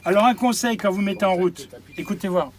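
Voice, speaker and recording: A man's voice: high voice, brisk. A man explaining the controls of a coach to a group of drivers, practical and repeating his advice. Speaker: driving instructor presenting a coach. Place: inside a coach, outdoors nearby.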